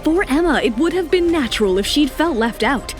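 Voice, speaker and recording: A woman narrates a romance audio drama in a fairly high voice. Her delivery is scripted and dramatic, with music playing underneath. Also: expressive, brisk.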